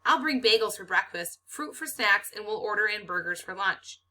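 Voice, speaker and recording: A woman's voice: mid-range voice, lively intonation, normal speed, sharp tone. A woman voicing an organized male school teacher who coaches a quiz team, firm and businesslike, in a storybook reading. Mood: brisk, upbeat.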